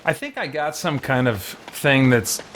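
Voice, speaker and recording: A man's voice: mid-range voice, animated, measured pace, fairly bright tone. A man live-streaming a video game, chatting informally with viewers and thinking aloud as he plays. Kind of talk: casual live-stream chat and gameplay commentary. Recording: clear background noise from game ambience.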